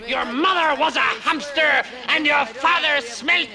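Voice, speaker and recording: An actor playing a taunting, insolent comic soldier in a film, hurling absurd insults. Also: male voice, extremely high pitch, a little slow, creaky, a crowd in the background, heavily acted. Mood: mocking.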